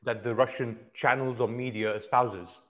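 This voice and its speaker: Man, interview host, direct questions, middle pitch. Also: steady tone, normal speed, a bit bright.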